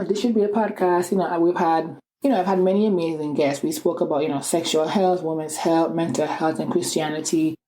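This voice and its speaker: Woman, twenties, antiguan accent, podcast host, low voice, upbeat and chatty. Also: dark tone, a little hiss or hum, rough recording. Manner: upbeat and chatty, many "you know" asides. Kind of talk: solo podcast monologue.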